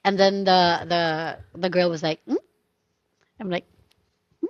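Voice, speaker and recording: A woman co-hosting a casual chat podcast, telling animated anecdotes, acting out quoted voices and laughing often. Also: lowish voice, unhurried, no room sound, lo-fi sound. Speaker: podcast co-host.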